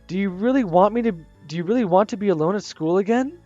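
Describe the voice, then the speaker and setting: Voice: medium pitch, animated, fast talker, dark tone, no echo, muffled recording, faint music behind, voice pushed up, natural voice. A gamer reading a visual novel aloud, voicing an earnest, caring teenage schoolboy who speaks urgently. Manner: urgent, insistent.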